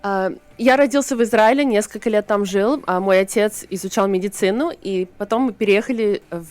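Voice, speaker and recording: A woman interpreting on a radio show in a studio, relaying answers in a brisk, matter-of-fact way. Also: medium pitch, a little mellow, no echo, soft background music.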